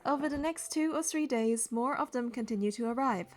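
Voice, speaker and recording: Young woman, high voice, expressive, game story narration and dialogue, soft music behind. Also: fairly quick. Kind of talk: reading game narration and heroine dialogue aloud.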